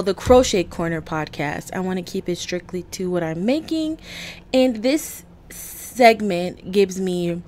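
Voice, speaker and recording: A woman hosting a casual home video podcast, chatting to her viewers in a slightly raspy morning voice and apologizing often. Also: lowish voice. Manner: chatty, apologetic, easily excited. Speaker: podcast host. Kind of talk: casual chat to camera. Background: coffee maker running.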